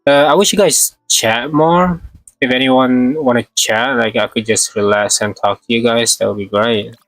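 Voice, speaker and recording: A man's voice: medium pitch, steady tone, moderate pace, fairly bright tone, dry recording, faint music behind. A man chatting casually on a game livestream, thinking aloud in short, hesitant fragments. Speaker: game streamer. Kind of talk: casual livestream gameplay chat.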